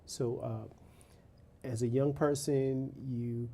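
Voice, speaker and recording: A man's voice: medium pitch, steady tone, drawn-out words, dark tone. A man hosting an interview in a casual, friendly way, using slang and humming along as his guest talks. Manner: casual, friendly, slangy, with frequent backchannel hums. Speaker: host.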